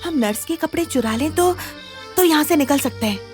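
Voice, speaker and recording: An actress playing an anxious, naive young woman in an audio drama, speaking haltingly and repeating her words. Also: sits high, animated, a little mellow. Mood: fretful. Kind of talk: Hindi audio drama acting. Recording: music under the voice. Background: background music.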